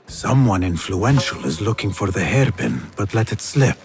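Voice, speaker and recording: An actor playing a determined, calm young male investigator in a video game cutscene. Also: lowish voice, normal speed, a little hiss or hum. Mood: intent, guarded.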